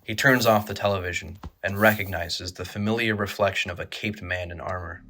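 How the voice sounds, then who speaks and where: A man narrating a scripted horror audio drama in a measured, grave manner. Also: low voice, fairly even intonation, pushed and bright.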